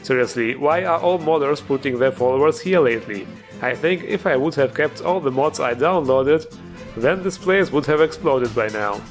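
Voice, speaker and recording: A man's voice: medium pitch, normal speed. A man narrating a jokey, scripted gaming review as host, playful and tongue-in-cheek. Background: music.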